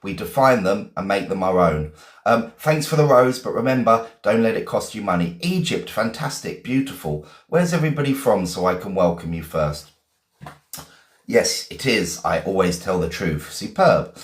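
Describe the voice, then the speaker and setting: An English man, a teacher from Essex, hosts a live lesson and chats with his viewers, greeting them one by one with plenty of "um"s. His voice sits low. The room has a noticeable echo. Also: lively intonation, normal speed. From England, Essex. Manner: chatty, greets viewers one by one, many "um" fillers. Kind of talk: casual live-stream lesson.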